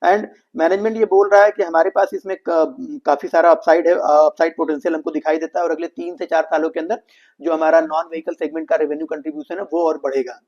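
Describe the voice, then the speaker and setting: A man's voice: fairly high pitch, flat delivery, fast talker, a little mellow. An Indian man presenting a financial explainer, addressing viewers as friends and mixing English business terms into his explanations. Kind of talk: explainer commentary on an earnings call. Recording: dull lo-fi voice recording.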